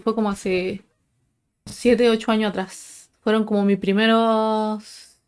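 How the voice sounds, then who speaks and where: A woman's voice: medium pitch, little pitch movement, takes it slowly, a little mellow, clear, resonant tone. A young adult woman telling personal stories to her viewers in a casual, conversational, explanatory way. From Chile. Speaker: paranormal storytelling video host.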